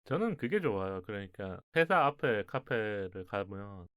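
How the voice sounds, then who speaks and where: A man's voice: fairly low pitch, very animated, moderate pace, fairly bright tone, clean tone, dry recording, studio quality. A man hosting a radio show in a conversational style, talking to listeners and a guest.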